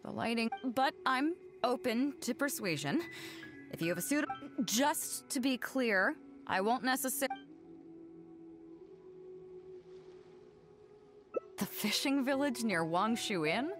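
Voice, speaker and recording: Hearty, playful female ship captain, game character, fairly high and very animated, breezy confidence. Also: unhurried. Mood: amused, doubtful. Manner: breezy, teasing, expressive. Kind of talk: video game voice acting. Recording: music behind the voice. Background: game music.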